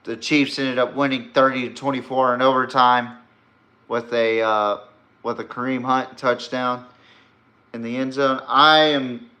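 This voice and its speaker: Male podcast host, unhurried delivery with a bright, forceful edge; blunt, rambling, opinionated. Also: medium pitch, fairly even intonation. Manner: rambling, blunt, profane, emphatic. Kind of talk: casual opinionated sports and politics commentary.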